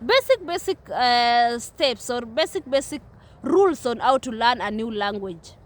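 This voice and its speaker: Kenyan woman, young, high voice; earnest vlogger, restarts a lot, recorded outside. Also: lively intonation, a little slow. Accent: Kenyan English. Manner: repetitive, earnest, many restarts. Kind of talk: casual talk to camera. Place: outdoors at a playground.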